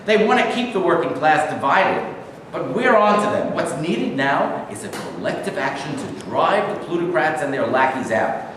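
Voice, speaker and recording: A fervent working-class agitator, acted by a man in a staged reading, declaims slogans in a high-pitched voice. The sound is very echoey and far from the mic, with faint noise. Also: lively intonation, normal speed, natural voice. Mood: indignant. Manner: oratorical, slogan-like.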